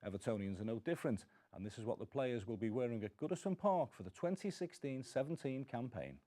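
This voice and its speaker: Male sports show host, brisk delivery, soft dark tone, easy conversational interviewing. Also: medium pitch, animated. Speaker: football club show host. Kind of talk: casual interviewing and presenting.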